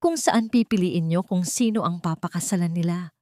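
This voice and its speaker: A woman's voice: fairly low pitch, normal speed, warm tone, dry recording, clean studio sound, close to an everyday voice. A woman voicing a defiant, anguished wealthy son and executive in an audio drama, with a Filipino accent and emphatic declarations. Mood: cold, bitter.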